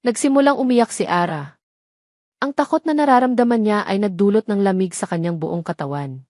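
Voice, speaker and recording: A woman's voice: mid-range voice, animated, fairly quick, a little mellow, no echo, clean studio sound. A woman reading scripted dramatic narration as the storyteller of an audio romance.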